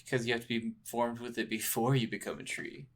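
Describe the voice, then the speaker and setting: A man's voice: medium pitch, little pitch movement, brisk. A man co-hosting a video game podcast in casual, sweary conversation, agreeable and laid-back as he chimes in.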